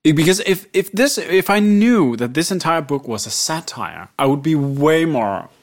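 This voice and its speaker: A man with a Danish accent co-hosting a podcast, talking in an animated, sarcastic way and swearing freely. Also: a little high, a little slow. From Denmark. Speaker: podcast co-host. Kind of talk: casual chat.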